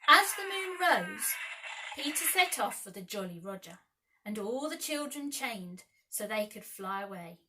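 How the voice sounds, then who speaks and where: A woman narrating a children's storybook aloud with expressive, deliberate dramatic phrasing. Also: medium pitch, background noise. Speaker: primary school teacher reading aloud.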